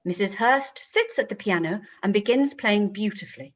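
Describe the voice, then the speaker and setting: A woman's voice: medium pitch, lively intonation, normal speed, muffled recording. A woman narrating stage directions for a radio play in a scripted, present-tense style.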